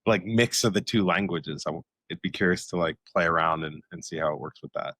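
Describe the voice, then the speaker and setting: A man's voice: low voice, a little fast, fairly bright tone, dry recording. A man hosting a podcast, chatting casually in loose, informal phrases peppered with filler words. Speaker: podcast host. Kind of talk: casual interview chat.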